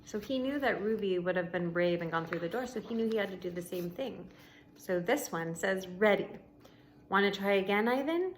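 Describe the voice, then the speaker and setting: A woman reading a children's book aloud like a teacher to pupils, expressively, with deliberate pauses between short phrases and casual explanations. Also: fairly low pitch, rough recording. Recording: noticeable background noise.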